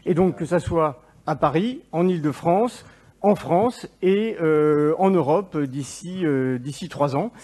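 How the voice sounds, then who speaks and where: A man who is an actor pitching his startup on stage, joking with the audience. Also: slow, a little mellow. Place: event stage.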